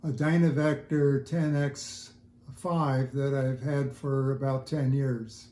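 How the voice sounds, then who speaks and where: An older man chatting casually in an interview, explaining things in a halting, thoughtful way. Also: mid-range voice, little pitch movement, dark tone. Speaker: interview guest, audiophile hobbyist. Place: indoors at home listening room. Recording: roomy, echoey home recording.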